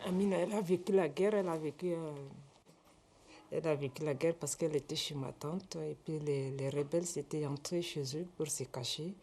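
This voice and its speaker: A woman giving a plain-spoken interview about a child in her care, speaking calmly and haltingly. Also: sits low, slightly rough. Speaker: parent. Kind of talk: interview testimony.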